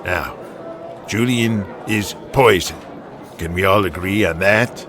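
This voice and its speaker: A man's voice: low voice, noisy background. Man playing a firm, weary older chairman. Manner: measured, firm, deliberate. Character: authoritative wrestling alliance president, older, weary and firm.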